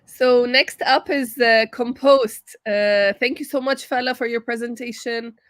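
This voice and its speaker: A woman moderating a live online pitch competition, speaking off the cuff. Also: steady tone, measured pace, fairly bright tone, dry recording, clean studio sound. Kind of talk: live event moderating, unscripted. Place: online video call.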